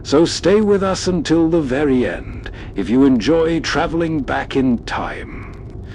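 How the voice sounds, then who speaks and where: Slow-paced male nostalgia narrator. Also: a little high, expressive, darkish tone, a little hiss or hum. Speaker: host narrator.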